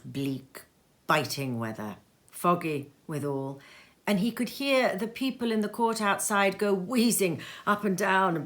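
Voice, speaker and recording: A woman reading a classic story aloud at home in her sitting room, slow and expressive, sometimes dropping to a whisper. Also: lowish voice.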